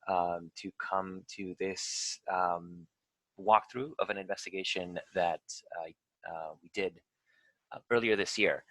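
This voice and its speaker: A man's voice: low-pitched, a bit bright, dry recording, low-quality recording. A man from Venezuela, an investigator, leading a live online tutorial over a video call, talking with many ums and uhs.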